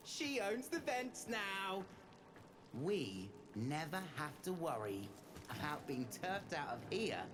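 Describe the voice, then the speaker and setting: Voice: medium pitch, noisy background. An actor playing a loyal, wistful young hoverboard-gang kid in a video game, with slangy, informal speech.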